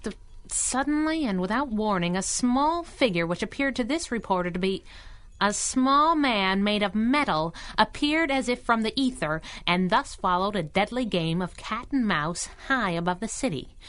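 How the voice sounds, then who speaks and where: An actress playing a spunky, wisecracking young superheroine sidekick in a 1930s-style radio drama, brash and sassy. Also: female voice, medium pitch, animated, normal speed, fairly bright tone, lightly acted. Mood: reading aloud dramatically.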